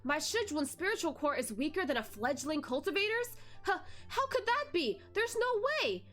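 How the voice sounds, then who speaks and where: Woman voicing an anxious, high-pitched young man. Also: sing-song, normal speed, a bit bright. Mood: shocked disbelief. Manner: urgent, exclaiming, questioning. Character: anxious young male cultivator, impatient and disbelieving. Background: music behind the voice.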